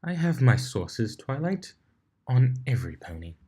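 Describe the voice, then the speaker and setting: A genteel female unicorn with a taste for gossip and drama, as a man reads the part. The pitch sits above a man's usual talk, and the delivery is playful, coy and affected, in storybook style. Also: big pitch swings, unhurried, darkish tone, husky. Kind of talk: fan fiction reading with character voices.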